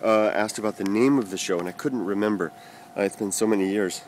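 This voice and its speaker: A man from the United States rambling through viewer comments in a casual vlog, halting with many fillers and restarts. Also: lowish voice, darkish tone, a little hiss or hum. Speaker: vlogger answering viewer comments.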